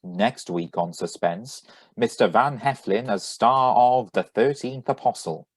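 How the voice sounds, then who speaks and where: A man playing an enthusiastic 1940s radio announcer and sponsor pitchman with a husky voice, read over a video call. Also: a little slow, no room sound, lightly acted. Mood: formal announcing.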